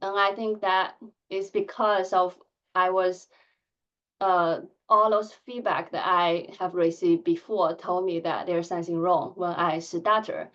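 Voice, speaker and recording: A woman in her thirties who stutters, giving a candid conference talk with blocks and filled pauses. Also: lowish voice, flat delivery, highs cut off. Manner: soft-spoken, candid, measured. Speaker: conference speaker. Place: conference hall.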